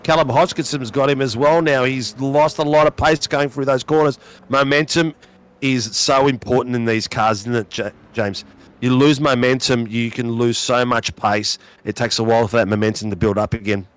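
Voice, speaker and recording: A man with a hoarse voice calling a sim race with excited, rapid play-by-play. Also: medium pitch. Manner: fast, exclamatory, calls names rapidly. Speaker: race commentator.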